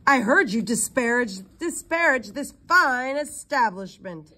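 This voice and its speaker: Storybook role: imperious male hotel clerk, booming and pompous, performed by a female reader. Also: expressive, measured pace, pushed and bright, heavily acted. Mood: grand, boastful.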